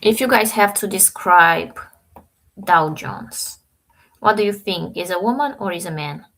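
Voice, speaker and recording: A woman's voice: mid-range voice, unhurried, fairly bright tone. A woman from Romania hosting a trading live stream, chatting casually with viewers and thinking aloud over the charts.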